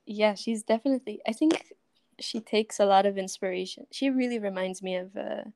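A woman in her twenties, a singer-songwriter guest, speaking gently and thoughtfully in a soft voice. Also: medium pitch, brisk, creaky, no echo. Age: 20s. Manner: gentle, thoughtful, laughs often. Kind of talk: casual interview chat.

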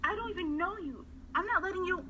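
A woman's voice: very high voice, fast talker, noisy background. A woman playing a seventeen-year-old girl who is suspicious and defiant toward a stranger, in an amateur radio drama.

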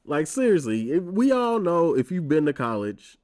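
A man's voice: fairly high pitch, sing-song, moderate pace, darkish tone. A man in his twenties, congested from a cold, hosting his own podcast in a wry, profane, ranting casual monologue. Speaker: podcast host.

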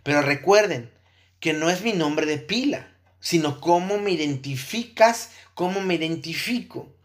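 A man's voice: a little high, animated, unhurried. A man giving an explanatory lecture on Buddhist ideas, often checking in with his listeners and thinking aloud as he goes. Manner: checks in with listeners with frequent tag questions.

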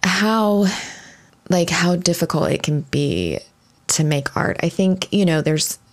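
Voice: medium pitch, slow pace. A podcast host talking casually on their own, rambling with lots of fillers and restarts. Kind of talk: casual solo podcast monologue.

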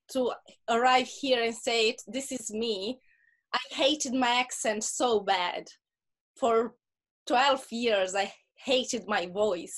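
A woman with a Russian accent, a guest talking earnestly in a casual interview and often repeating words as she starts a point. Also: fairly high pitch.

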